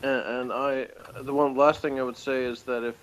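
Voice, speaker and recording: A man chatting casually as a review co-host, speaking in a hesitant, halting way. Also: medium pitch, flat delivery, slight background noise, poor audio. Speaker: podcast co-host reviewing audio dramas.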